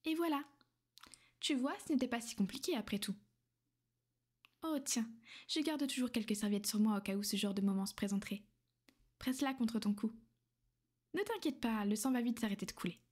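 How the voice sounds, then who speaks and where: A woman voicing a gentle, possessive female vampire in an ASMR roleplay. She speaks softly and intimately, often in a half-whisper, coaxing and reassuring. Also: fairly high pitch, moderate pace, pauses often, natural voice.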